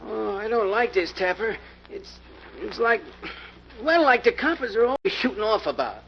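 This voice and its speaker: A man's voice: high voice, big pitch swings, normal speed, vocal fry, full character voice. A man playing a nervous, whining American small-time crook in an old radio crime drama, stammering and slangy. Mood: nervous, fearful. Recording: muffled, hissy old radio recording.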